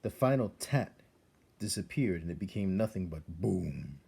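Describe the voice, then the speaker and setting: A man narrates a storybook in a low, dark voice with a husky grain. His delivery is measured and dramatic, as if drawing the listener into the tale. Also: animated. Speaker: read-aloud narrator. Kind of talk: expressive scripted storybook narration.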